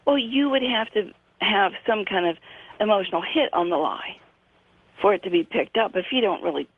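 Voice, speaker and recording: A woman's voice: medium pitch, expressive, moderate pace, no room sound. A woman in her sixties, a radio guest, explaining things patiently with frequent hesitations over a phone line. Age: 60s.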